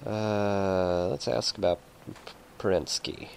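Deep, gravelly man commenting on a game. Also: steady tone, slow pace, a little mellow. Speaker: gameplay streamer. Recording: noisy, game sounds behind voice.

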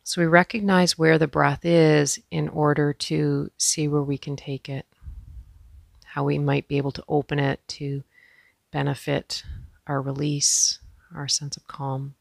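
Female yoga instructor for guided meditation; gentle, pausing delivery, noticeable vocal fry, very dry sound. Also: deep voice, level tone, no room sound. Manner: gentle, unhurried, with pauses.

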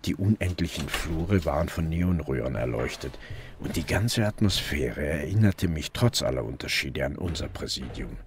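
A man's voice: a bass voice, expressive, normal speed, background noise. An actor playing a sober, weary middle-aged police detective in a radio play, dry and restrained as he tells and acts his story. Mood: sober narrating. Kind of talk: first-person narration and radio play dialogue.

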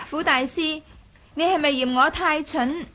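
A woman's voice: high-pitched, takes it slowly, sharp tone, full character voice. A woman with a Hong Kong accent playing a young, shrewd princess in a Cantonese radio drama, playfully probing and self-assured. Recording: noisy old radio drama recording.

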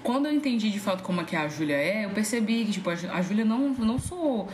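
A woman talks in a casual, chatty podcast style. She tells things informally, with lots of slang. The room gives her voice a clear echo, over faint noise. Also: medium pitch, animated, quick pace, darkish tone. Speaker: podcast guest, voice actress.

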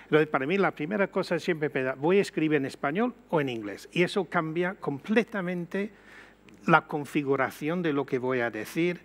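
Older Englishman, higher voice. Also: normal speed. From Britain. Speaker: panel guest. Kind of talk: panel discussion. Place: auditorium stage before an audience.

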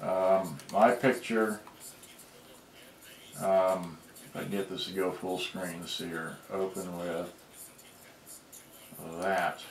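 A man's voice: low voice, flat delivery, slow pace, long pauses. A man giving a casual, rambling demonstration at a workbench, muttering to himself as he goes. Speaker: hobbyist repairer showing a vintage boombox. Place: cluttered indoor workbench. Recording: roomy, noisy home camera recording.

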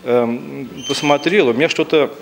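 A man in his fifties speaks informally in a news interview. His voice sits at a medium pitch and comes out haltingly. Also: creaky, slight background noise, poor audio. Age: 50s. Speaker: professional artist, interviewee. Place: indoor lobby by a staircase. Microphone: handheld TV reporter mic.

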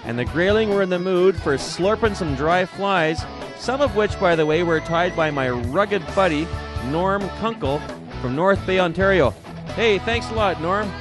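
A man's voice: high-pitched, lively intonation, moderate pace. A man hosting a fishing show, narrating in a jokey, hyped voice-over. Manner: jokey, hyped, keeps calling things rugged. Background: guitar music.

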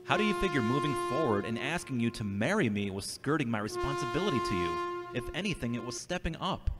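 A man's voice: medium pitch, big pitch swings, quick pace, loud music behind the voice, lightly acted. An actor playing an earnest, defensive, self-doubting man recovering from a brain injury in a scripted audio drama. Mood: defensive, resentful. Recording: long hall-like reverb.

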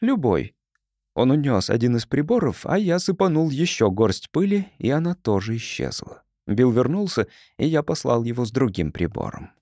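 Expressive male audiobook narrator, lively pitch. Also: moderate pace, few pauses, dry recording, clean studio sound.

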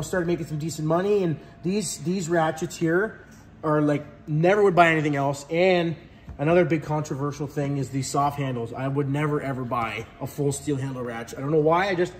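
A relaxed young man, his voice sitting a little high for a man. He rambles casually and swears offhandedly while showing off his tools, recorded on a phone held close in a big shop garage. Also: a little hiss or hum. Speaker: heavy diesel mechanic. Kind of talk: casual tool box tour.

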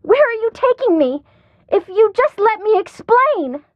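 An American actress playing a perky, curious, chatty young woman amateur sleuth in a video game, with an expressive, girlish manner. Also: very high voice, measured pace, no echo, lo-fi sound, heavily acted. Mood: protesting. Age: young adult. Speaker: voice actor. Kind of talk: video game character dialogue.

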